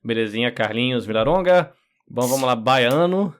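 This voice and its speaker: Guest man, Brazilian, 40s, mid-pitched, neutral São Paulo accent, relaxed, jokes about himself. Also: normal speed, clear, resonant tone. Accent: neutral São Paulo Portuguese. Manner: relaxed, self-joking storyteller. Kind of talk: casual interview chat.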